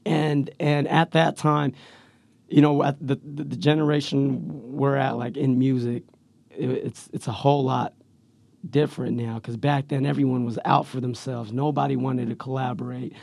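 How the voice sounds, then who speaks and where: A man with a raspy voice, talking slangy and laid-back as a rapper guest in a casual interview, with lots of fillers. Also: medium pitch, flat delivery, normal speed, darkish tone, no echo. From Central Valley, California.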